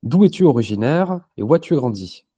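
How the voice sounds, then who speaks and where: A man reading scripted interview questions at an even pace. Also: medium pitch, a little fast, husky.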